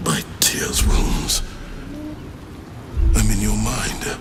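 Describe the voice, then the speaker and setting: A man's voice: on the low side, extremely slow, background noise. An actor playing a proud, anguished older grand duke and father, with solemn, formal delivery in a fantasy game. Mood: stricken, remorseful. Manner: solemn, formal, theatrical oratory. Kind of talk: dramatic fantasy game acting.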